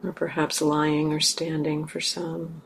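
A woman meditation teacher leading a guided meditation gently and haltingly, in short phrases with long pauses. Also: sits low, completely flat delivery. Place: meditation center hall. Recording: clear background noise, room ambience.

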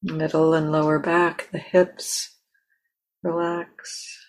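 A woman leading a guided meditation as a teacher, speaking gently and unhurriedly with long pauses. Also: sits low, level tone, poor audio.

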